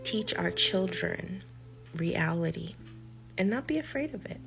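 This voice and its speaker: An older woman speaks in a low, gravelly voice, taking her time over every phrase. She talks like a healer giving a reflective talk and drives her points home by repeating words. Music plays clearly beneath her. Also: lively intonation, rough recording. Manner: slow, deliberate, emphatic repetition with trailing phrases. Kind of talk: reflective spoken monologue. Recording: music behind the voice.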